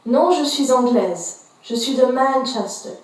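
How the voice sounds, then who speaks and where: A woman voicing young female students in a textbook listening exercise, speaking slowly and carefully. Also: high voice, animated, darkish tone. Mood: plain. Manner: slow, careful classroom-audio delivery. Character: young female students giving their nationality. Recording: echoey, distant textbook audio.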